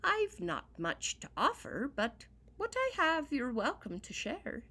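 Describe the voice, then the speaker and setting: A woman voicing a kind, gentle old man in a storybook reading, speaking earnestly. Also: high voice, huge pitch swings, slow pace, voice pushed up, full character voice.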